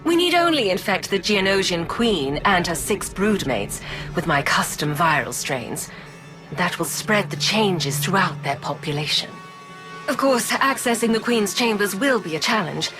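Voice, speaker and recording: Game villainess: a scheming Sith scientist, highly animated pitch, haughty and precise, music underneath. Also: female voice, a little slow. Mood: smug scheming. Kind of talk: video game cutscene acting.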